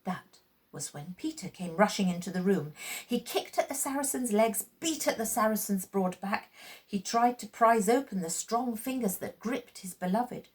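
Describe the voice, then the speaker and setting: A woman's voice: mid-range voice, lively intonation, normal speed, breathy edge. A woman reading a story aloud dramatically, with theatrical, suspenseful phrasing.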